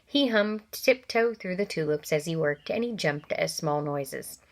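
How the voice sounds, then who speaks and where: A woman reads a children's novel aloud at a middling pitch. She gives the characters lively voices. Every so often she stops to talk straight to her young listeners. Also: a little slow. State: coughing from allergies. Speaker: reader.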